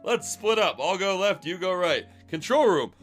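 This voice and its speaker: A man as chirpy nerdy young woman, highish, polite, animated, game fan-fiction read. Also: moderate pace. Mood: matter-of-fact. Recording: background music behind the voice.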